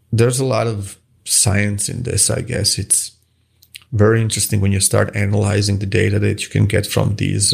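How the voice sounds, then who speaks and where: Gravelly, husky Croatian man. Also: fairly low pitch. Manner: hesitant, many restarts and fillers. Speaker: guest, watch face designer. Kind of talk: casual interview answers.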